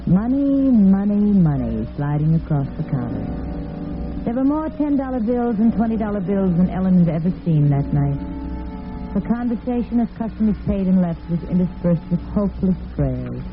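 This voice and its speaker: A woman's voice: medium pitch, very animated, moderate pace. A woman giving dramatic scripted narration as the storyteller of a radio drama. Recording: tinny vintage radio recording with music.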